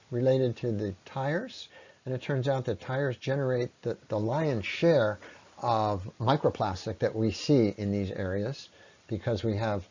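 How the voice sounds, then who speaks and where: A man giving an explanatory slide presentation as a lecturer, often pausing mid-sentence as he explains. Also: lowish voice, dark tone, highs cut off.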